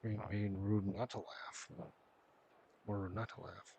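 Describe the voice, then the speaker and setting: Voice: sits low, fairly even intonation, unhurried, creaky, darkish tone, a little hiss or hum. A person giving casual reaction commentary while playing through a story game.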